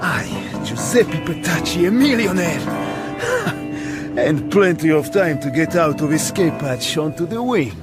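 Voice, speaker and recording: An actor playing a greedy, gloating Italian pilot turned hijacker in a radio drama, talking in clipped phrases as if to himself. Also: male voice, on the high side, sing-song, slow pace. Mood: triumphant. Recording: loud music and aircraft engine behind.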